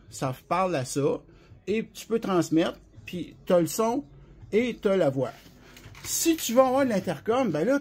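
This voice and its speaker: Casting: man, Quebec French accent, notably high voice with a rough husky edge, informal rambling product demonstrator. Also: darkish tone. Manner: colloquial, rambling, hands-on explaining. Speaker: CB radio specialist and seller presenting products.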